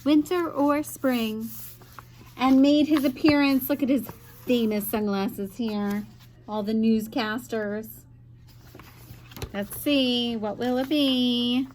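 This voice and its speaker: Female teacher for story time: high voice, expressive reading, chatty asides, noisy room tone. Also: takes it slowly. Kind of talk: children's picture book read-aloud with asides.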